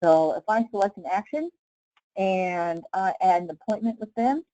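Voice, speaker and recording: A woman leading a casual live software tutorial as a trainer, explaining step by step in an informal way with frequent check-in questions. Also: mid-range voice, expressive, normal speed, dry recording, rough recording.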